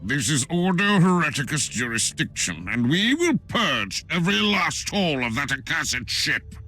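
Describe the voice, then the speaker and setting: A zealous, haughty inquisitor in a grim sci-fi game. His sharp, forceful voice rises and falls dramatically with pompous authority. Faint music plays underneath. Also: a little high, big pitch swings, unhurried, exaggerated voice. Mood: imperious. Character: stern, haughty, zealous male rival inquisitor. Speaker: game character. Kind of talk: dramatic video game cutscene acting.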